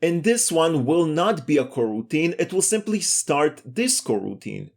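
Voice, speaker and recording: A man's voice: on the high side, big pitch swings, measured pace, vocal fry, slight background noise. A man giving a step-by-step screencast programming lesson in a methodical, explanatory way. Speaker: programming tutorial instructor.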